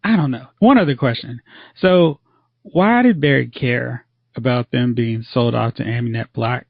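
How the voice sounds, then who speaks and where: A man's voice: mid-range voice, normal speed, gravelly, dry recording. A man hosting a podcast in casual chat, opinionated and complaining with occasional sighs. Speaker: podcast host. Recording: dry, dull lo-fi audio.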